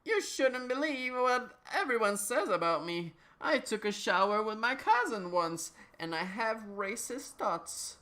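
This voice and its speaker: A woman's voice: fairly high pitch, animated, a little slow, few pauses, a bit bright, pitched above the performer's own voice. A woman voicing a towering, self-important male author in a tuxedo, boastful and pompous, in a comic story.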